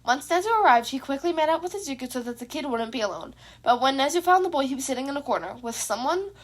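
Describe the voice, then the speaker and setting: Female narrator, high voice, scripted storytelling, fan fiction reading. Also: animated, quick pace. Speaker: podfic narrator.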